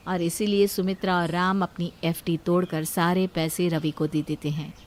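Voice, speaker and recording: Female narrator for scripted moral tales, somewhat low-pitched. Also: flat delivery, normal speed.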